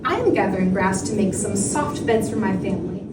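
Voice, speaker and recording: A woman voicing a small, clever male rabbit from a storybook, with a calm, innocent manner that hides his tricks. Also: mid-range voice, a little fast, natural voice. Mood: sweetly deceptive. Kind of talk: storybook character voice. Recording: echoey, noisy room, distant mic.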